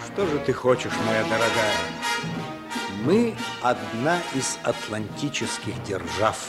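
A man's voice: fairly low pitch, very animated, slow pace. An actor in a 1950s radio play playing a stern bourgeois French father with a peremptory, lecturing manner. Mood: resigned. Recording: vintage radio drama with music underneath.

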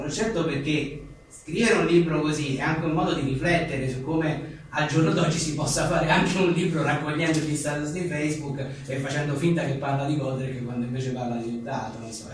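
A man being interviewed as an author at an informal book presentation, rambling animatedly and acting out imagined exchanges. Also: a little high. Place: echoey indoor venue at a live event. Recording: very echoey room, distant mic, faint noise.

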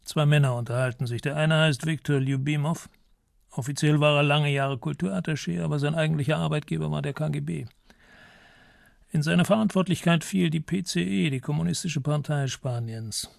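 A man playing a courtly, secretive old ex-intelligence officer in a radio crime drama, gravelly and formal, choosing his words with dignity. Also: fairly high pitch, brisk, dry recording, clean studio sound. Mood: confiding, grave. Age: older.

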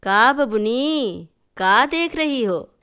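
Storybook village aunt, played by a woman: knowing, gossipy, rural dialect, slow emphatic delivery. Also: fairly high pitch, lively intonation, sharp tone, clean tone, pitched above the performer's own voice, full character voice. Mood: teasing.

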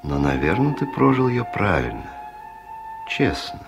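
Deep, slow, grave reflective voice. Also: male voice, very animated. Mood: gentle reproach. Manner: measured, gently reproachful, ruminative. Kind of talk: theatrical radio play monologue. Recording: music under the voice. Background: music.

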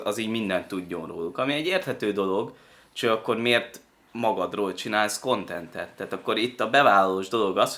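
A man's voice: on the low side, sharp tone. A man giving casual, blunt and opinionated critical commentary as a panelist. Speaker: panelist on a video reaction show.

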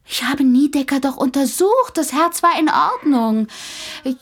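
A woman's voice: sits high, lively intonation, unhurried. An actress playing a troubled, earnest female doctor in a German radio play, speaking hesitantly. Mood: dismayed, bewildered.

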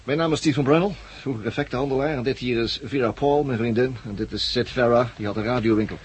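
A man playing a brisk, organised survivor who explains things earnestly in a 1960s radio drama. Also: mid-range voice, close to an everyday voice. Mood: businesslike. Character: brisk, organised male survivor with military zeal. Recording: dull, hissy 1960s radio recording.

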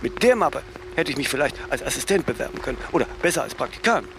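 An actor playing an imperious, self-mythologizing older fashion designer in a German radio play, speaking haughtily in aphorisms and tagging sentences with "ne?". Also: male voice, medium pitch, sing-song, quick pace, fairly bright tone, a little hiss or hum. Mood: grand, reminiscing.

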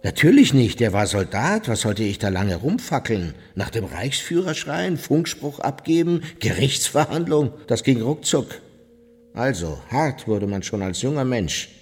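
An actor playing a callous old former SS officer in a radio docudrama, giving blunt, offhand testimony in clipped fragments. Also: male voice, mid-range voice, big pitch swings, measured pace, darkish tone. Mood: brusque.

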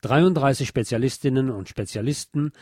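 A man's voice: mid-range voice, normal speed, a little mellow, slightly rough, no echo, studio quality. A man hosting a radio programme, reading scripted local news and adding his own commentary.

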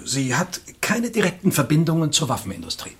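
An actor playing a knowledgeable weapons expert in a German radio play, speaking in an assured, matter-of-fact, instructive way. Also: male voice, on the high side, huge pitch swings, brisk.

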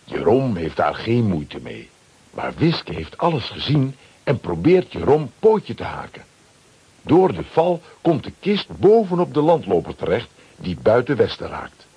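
A man narrates a children's adventure story from a script. His voice is on the low side and very husky, with animated, dramatic swings in intonation. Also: unhurried.

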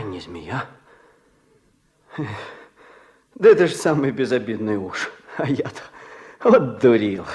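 An easygoing wandering workman in a Soviet radio play. He speaks in homely, colloquial phrases, musing and a little bemused, with a very lively rise and fall in pitch. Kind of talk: Soviet radio drama acting.

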